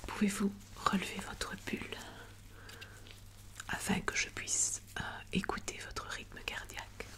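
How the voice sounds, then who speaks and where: A woman whispering softly and breathily as a doctor in an ASMR medical roleplay, slow, gentle and reassuring. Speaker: ASMR performer playing a doctor.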